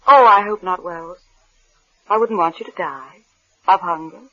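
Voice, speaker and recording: A woman's voice: lowish voice, moderate pace, lightly acted. A woman, an actress playing a cool, secretive, scheming wife in 1950s radio suspense style. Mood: coolly teasing. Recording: muffled old radio broadcast.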